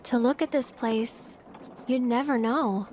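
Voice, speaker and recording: A woman's voice: on the high side, a little slow, darkish tone, a little hiss or hum, lightly acted. An actress playing a candid, sensitive young woman in a video game, speaking conversationally. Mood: gentle, teasing. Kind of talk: video game character acting.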